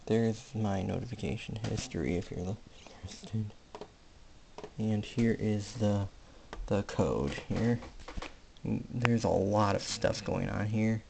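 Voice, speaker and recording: A man giving a casual hands-on electronics demonstration, speaking haltingly in short piecemeal phrases. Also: on the low side, fairly even intonation, creaky. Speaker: hobbyist presenter. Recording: faint background noise with clicks.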